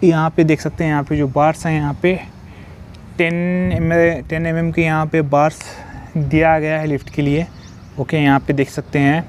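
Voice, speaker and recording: Man, outdoor site walkthrough, phone mic, monotone, faint traffic. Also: fairly high pitch, normal speed. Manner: repetitive, explanatory, mixes English terms into Hindi. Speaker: civil construction site vlogger. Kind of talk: walkthrough explaining a construction site. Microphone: phone held while filming.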